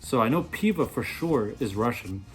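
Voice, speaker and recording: A man in his thirties reviewing a product in a casual, playful way, rambling as he thinks aloud, with a slightly husky voice. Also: mid-range voice, expressive, moderate pace, soft background music, low-quality recording. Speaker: beer reviewer, vlog host.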